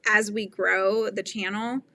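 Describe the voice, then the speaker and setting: A woman's voice: medium pitch, flat delivery, a little slow, pushed and bright. A middle-aged woman hosting a casual crochet livestream, chatting and laughing as she reads viewer comments. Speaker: livestream host. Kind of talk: casual chat while crocheting.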